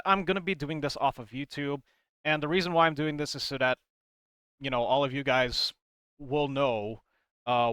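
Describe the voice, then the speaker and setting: Casual male commentator, smooth and dry. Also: mid-range voice, fairly bright tone, no room sound. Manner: rambling, emphatic, conversational. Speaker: video creator explaining game lore.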